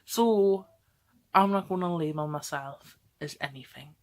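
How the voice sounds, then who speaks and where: A young woman in her twenties chatting straight to camera. Her voice sits low for a woman, and she rambles freely, tossing in quick "okay?" checks and little laughs as she goes. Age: 20s. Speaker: vlogger. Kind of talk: casual talk to camera.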